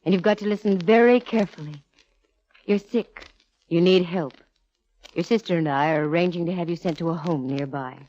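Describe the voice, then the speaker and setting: A woman's voice: fairly low pitch, normal speed, mellow, natural voice. A woman playing a cool, shrewd female private eye in a 1940s radio drama, composed and wry. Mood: firm, concerned. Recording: dull vintage radio recording, music behind.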